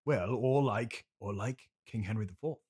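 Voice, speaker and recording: A man's voice: on the low side, normal speed, a little mellow, husky. A man playing a vain, needy seventy-year-old classically trained stage actor, theatrical and self-important, in an improvised comedy interview. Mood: pompous, assured. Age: older.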